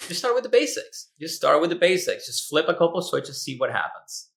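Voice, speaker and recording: A man's voice: fairly high pitch, fairly quick, gravelly. A man from Venezuela who grew up speaking Spanish and Italian, chatting casually as an advertising expert guest. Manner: relaxed, chatty, frequent fillers. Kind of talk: casual interview chat.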